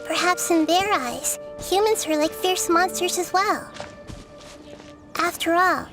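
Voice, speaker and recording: Little girl deity, very high voice, gentle, articulate, thoughtful, game dialogue over music. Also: slow pace, exaggerated voice. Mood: reflective. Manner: soft-spoken, thoughtful, articulate. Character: small gentle wise child goddess, thoughtful and kind. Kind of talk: video game character acting.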